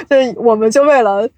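A woman's voice: sits high, dry recording. A woman explaining at length, with examples, in a casual podcast interview as an invited guest. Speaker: guest, audio drama producer.

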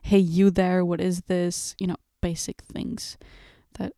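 A woman's voice: lowish voice, level tone, unhurried, warm tone, no room sound, studio quality. A woman in her twenties from Portugal, hosting a casual solo podcast, rambling and laughing at her own slips. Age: 20s. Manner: chatty, self-mocking, laughs at own slips. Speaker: podcast host. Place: home room with bookshelf.

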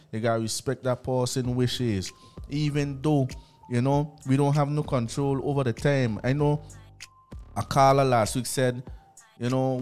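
A man's voice: mid-range voice, darkish tone. A man co-hosting a radio talk show, speaking earnestly and often repeating words as he starts a thought.

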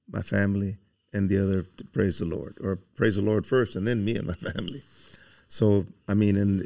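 A man's voice: lowish voice, vocal fry, darkish tone, no room sound, studio quality. A man in his seventies hosting a casual, folksy conversational interview, dropping in Spanish filler words. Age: 70s. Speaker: interview host.

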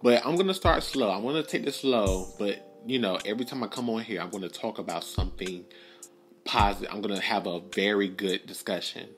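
A man's voice: fairly low pitch, a little fast, soft background music. A young man hosting his own podcast alone, talking earnestly and rambling with many restarts and fillers. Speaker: podcast host. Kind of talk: solo podcast monologue.

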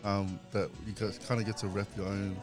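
A man's voice: on the low side, little pitch movement, a little fast, music in the background. A man co-hosting a casual podcast, chatting eagerly and loosely. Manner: enthusiastic, welcoming, rambling. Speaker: podcast co-host. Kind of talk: casual chat.

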